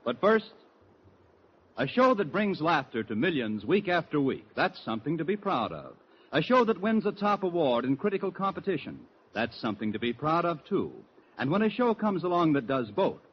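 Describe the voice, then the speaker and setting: High-pitched American man, vintage radio announcer. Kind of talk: 1940s radio announcing, scripted promo. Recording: muffled 1940s radio recording, faint noise.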